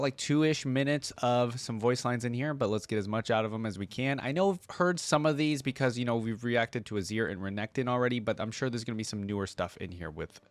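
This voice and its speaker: A man's voice: lowish voice, no room sound. A man hosting a reaction video, casually reading aloud and commenting as he thinks things through.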